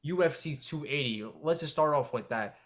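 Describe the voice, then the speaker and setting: A man's voice: on the high side, moderate pace, a bit bright. A man co-hosting a sports podcast, talking loosely with lots of filler and swearing. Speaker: podcast co-host. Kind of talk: casual sports chat.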